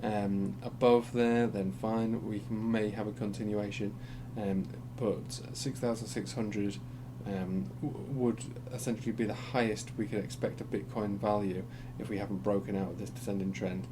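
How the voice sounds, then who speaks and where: A man with a croaky voice from being unwell, talking through market charts in a rambling, hesitant way with many ums and restarts. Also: on the low side, moderate pace, few pauses. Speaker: crypto chart analyst. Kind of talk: casual screen-share market commentary. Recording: steady background hum.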